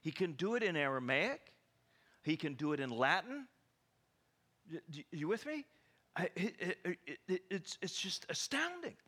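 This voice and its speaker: A man preaching a sermon, animated and full of stammering restarts and rhetorical questions to the congregation. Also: on the high side, normal speed. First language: English.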